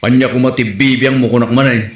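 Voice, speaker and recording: A man's voice: mid-range voice, steady tone, fast, lightly acted. A man playing a grumpy, scolding village husband with an Ilocano Filipino accent in a comedy audio drama. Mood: exasperated. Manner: grumbling, nagging. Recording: roomy echo with faint noise.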